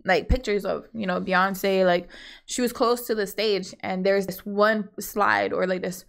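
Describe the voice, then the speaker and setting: A woman's voice: mid-range voice, fairly even intonation, unhurried, vocal fry. Rambling woman from Hawaii. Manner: rambling, many fillers and asides. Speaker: podcast co-host. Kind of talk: casual opinionated chat.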